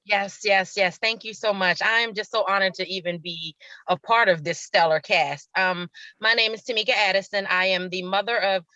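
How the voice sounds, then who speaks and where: A woman's voice: mid-range voice, fairly even intonation, moderate pace, bright, forceful tone, dry recording, lo-fi sound. A middle-aged woman telling stories casually as an interview guest, effusive and repeating words for emphasis. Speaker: stage actress, interview guest.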